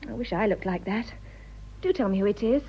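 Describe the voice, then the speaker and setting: A woman's voice: mid-range voice, brisk, breathy edge, muffled recording. An actress playing a sweet, impulsive young lady in a British radio drama, effusive and gushing, with a British accent. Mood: delighted, admiring.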